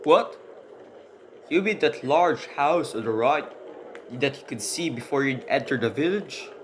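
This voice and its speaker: A man's voice: medium pitch, animated, a little slow, background noise, natural voice. A man playing an embittered poor convicted burglar in a radio drama, speaking formally and earnestly as he pleads his case and recounts his story. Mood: casual, curious. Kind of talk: hobby radio drama acting, long monologue.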